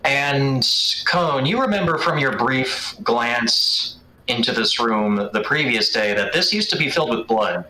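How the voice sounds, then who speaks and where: A man's voice: medium pitch, animated, unhurried, sharp tone, reverberant, poor audio. A man running a tabletop game as game master, improvising narration in a conversational, searching way.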